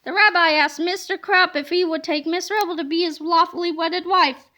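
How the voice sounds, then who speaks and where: A woman narrates a children's story with a very high, bright voice. Her delivery is expressive and emphatic, every phrase shaped for young listeners. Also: normal speed. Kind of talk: expressive children's book read-aloud.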